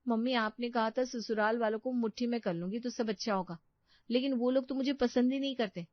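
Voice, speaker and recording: A young new bride from a domestic storybook , acted by a woman, naive, eager and quick to feel slighted. Her voice sits fairly high, in a dry, lo-fi recording. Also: fairly even intonation, no echo, lightly acted. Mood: hopeful.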